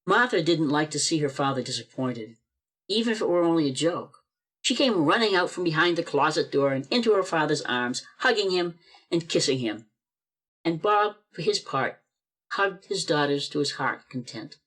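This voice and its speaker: Male storybook-style narrator for a radio play, fairly high pitch, expressive scripted delivery. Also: moderate pace. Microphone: video call.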